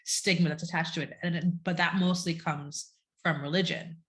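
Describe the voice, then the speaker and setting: A woman from Jamaica speaks in a low, deep register. Her talk is casual and frank, with a wry edge, and she keeps an easy conversational flow. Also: fairly even intonation, moderate pace, fairly bright tone. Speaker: podcast guest.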